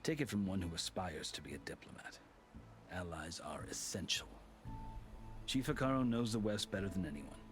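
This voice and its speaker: A proud veteran warrior with a low voice. He speaks formally and courteously, like a practiced diplomat in a video game drama. Music plays clearly behind him. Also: lively intonation. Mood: earnest, measured. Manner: formal, measured, eloquent oratory.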